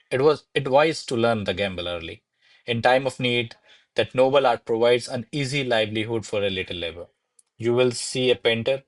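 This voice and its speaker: A man's voice: mid-range voice, lightly acted. A man voicing a cheeky, talkative male servant with a wry, rambling manner in a radio play.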